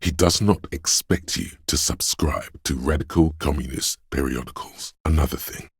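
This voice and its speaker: An actor playing the voice of empathy inside someone's head, a grave, tender, knowing narrator in a video game, speaking with measured, theatrical intimacy in a gravelly, husky voice. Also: male voice, rumbling low, no room sound, studio quality, full character voice. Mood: wry, knowing. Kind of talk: dramatic scripted game narration.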